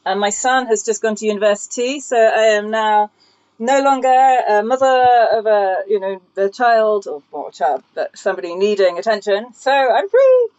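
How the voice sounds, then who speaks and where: Clear-voiced middle-aged woman, slightly dull recording. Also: medium pitch, expressive, normal speed, a little mellow. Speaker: boat skipper, podcast guest. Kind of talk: casual interview chat.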